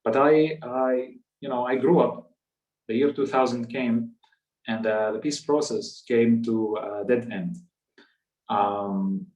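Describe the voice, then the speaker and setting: A man in his thirties with a Palestinian accent, a native Arabic speaker, telling a personal story in a measured, halting way. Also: mid-range voice, darkish tone. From West Bank, Palestinian territories. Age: 30s. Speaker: guest speaker. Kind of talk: personal storytelling in a public talk. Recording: echoey room, distant microphone.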